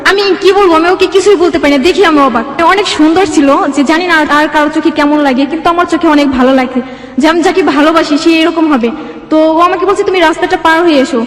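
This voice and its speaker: A woman's voice: extremely high pitch, racing. A young Bangladeshi woman telling her own life story as an interview guest. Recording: echoey room with long reverb.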